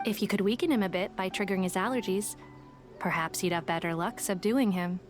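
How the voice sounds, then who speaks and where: A woman's voice: medium pitch, lightly acted. A woman playing a cool, shrewd businesswoman. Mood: composed. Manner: measured, matter-of-fact, dry. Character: calm, shrewd young woman, coolly businesslike. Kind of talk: video game voice acting. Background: game music.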